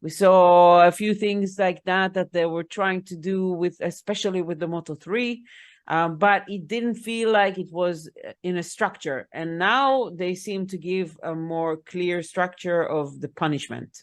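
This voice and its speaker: A woman's voice: fairly low pitch, unhurried. Chatty woman explaining with fillers. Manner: talkative, explaining, frequent fillers. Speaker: podcast co-host. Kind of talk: casual chat.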